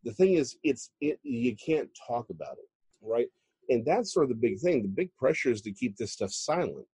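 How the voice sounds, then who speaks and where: A man speaks casually as an interview guest. His voice is mellow and a little husky, recorded dry and close. He leans on words when he gets going. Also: medium pitch, big pitch swings, normal speed, dry recording. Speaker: guest author.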